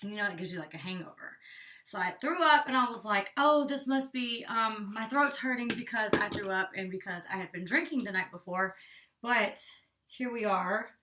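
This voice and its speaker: A woman's voice: mid-range voice, normal speed, bright, forceful tone. Young woman doing a hair tutorial at home, kinda stuffy nose, chatty, lots of likes, sounds a bit far from the mic. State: congested, slightly out of breath. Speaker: beauty vlogger. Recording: distant from the mic.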